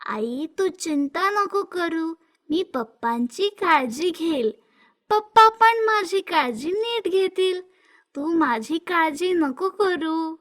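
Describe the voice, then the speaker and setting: A woman puts on a timid, loving little girl for a cartoon tale. The voice is very high, childlike and earnest. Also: animated, slow, a bit bright, full character voice. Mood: reassuring. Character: timid, devoted little daughter, sweet and pleading. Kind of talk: animated moral story dialogue.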